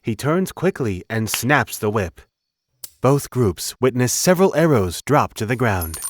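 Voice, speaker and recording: A man's voice: medium pitch, sing-song, a little slow. A man narrating a scripted fantasy audio drama.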